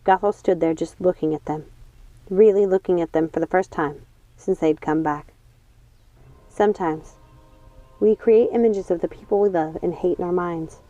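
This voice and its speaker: A woman's voice: fairly low pitch, mellow, no room sound. A woman reading a storybook as a scripted audiobook narration. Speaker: audiobook reader. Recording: dull lo-fi sound, music underneath. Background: music.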